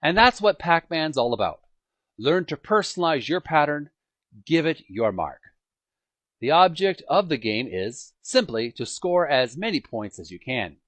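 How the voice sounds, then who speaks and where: A man reading a book aloud as an amateur narrator, with emphatic, dramatic phrasing and frequent pauses. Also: on the high side, sing-song, fairly bright tone, dry recording. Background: music.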